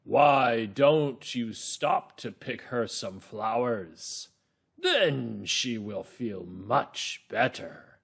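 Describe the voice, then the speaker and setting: A man voicing a mean, sly big bad wolf with a rough voice and a menacing drawl, for a children's storybook reader's theater. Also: mid-range voice, expressive, drawn-out words, full character voice. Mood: slyly coaxing.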